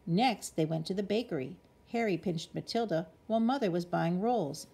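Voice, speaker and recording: A woman with a slightly husky voice reading a children's picture book expressively as a bedtime story. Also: lowish voice, normal speed, warm tone.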